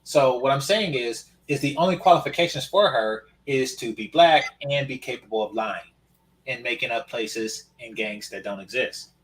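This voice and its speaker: A man's voice: medium pitch, moderate pace, slight background noise, rough recording. A man from Oakland chatting casually as a podcast co-host, telling stories in a wry, matter-of-fact way. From Oakland, California. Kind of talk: casual chat.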